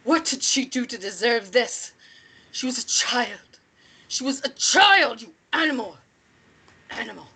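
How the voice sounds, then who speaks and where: A woman's voice: on the high side, expressive, a little slow, long pauses, sharp tone, husky, heavily acted, poor audio. A woman playing a stern, vengeful, commanding woman who protects wronged children, in a screenplay table read. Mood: menacing, furious.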